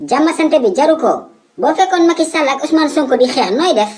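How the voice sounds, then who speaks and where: A man's voice: extremely high pitch, expressive, brisk, crowd noise. A man with a Senegalese accent voicing a stubborn, mischievous husband in a comedy cartoon, argumentative and full of wheedling interjections. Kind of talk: comedic cartoon dubbing in Wolof.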